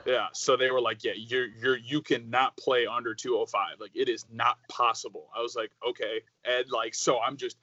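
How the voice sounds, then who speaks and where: A young man in his twenties, raised speaking Croatian at home, tells stories in a loose, rambling way full of fillers. His voice sits in a middle pitch, recorded close and dry with a slightly muffled tone. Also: moderate pace, few pauses, no echo. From United States. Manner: rambling, many "like" fillers, animated storytelling. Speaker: guest. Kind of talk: casual interview chat.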